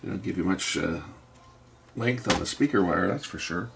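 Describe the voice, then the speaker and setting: A man with a low voice works through a repair in his workshop, thinking out loud in a casual way. He often mutters to himself as he goes. A faint noise runs behind his voice. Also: normal speed, vocal fry. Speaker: electronics repairer hosting a repair video. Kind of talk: casual hands-on repair commentary, thinking aloud. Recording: faint steady background noise.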